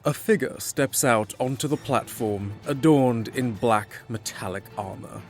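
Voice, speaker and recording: A man's voice: mid-range voice, a little slow, soft background music. A man giving dramatic, scripted fantasy narration as the storyteller.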